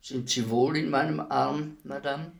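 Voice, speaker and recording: A man's voice: on the high side, steady tone, unhurried, vocal fry. A man playing a polite, grave, philosophical executioner in a literary radio play, speaking in a solemn, deferential way. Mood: quietly deferential.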